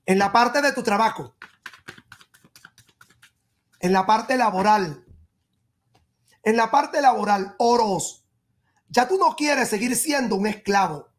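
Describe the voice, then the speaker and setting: A woman giving a tarot reading straight to the listener, emphatic, repeating and rephrasing in short phrases. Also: a little high. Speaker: tarot reader and horoscope host. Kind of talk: spoken tarot reading addressed to the viewer.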